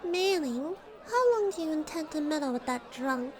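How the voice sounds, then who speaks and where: A woman's voice: sits high, lively intonation, moderate pace, a faint crowd. A woman voicing a haughty young yokai girl in a radio drama, curt and dismissive. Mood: disdainful.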